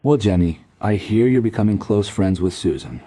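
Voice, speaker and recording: An actor playing a patient, probing police detective in an audio drama, gently insistent in manner. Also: male voice, on the low side, animated, slightly rough, no echo, studio quality, soft background music. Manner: measured, gently insistent questioning.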